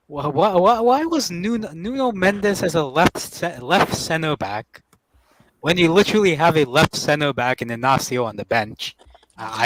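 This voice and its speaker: A man's voice: mid-range voice, a bit bright, dry recording, low-quality recording. A young man in a casual football chat, rambling and hesitant, with many fillers and restarts. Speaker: panelist.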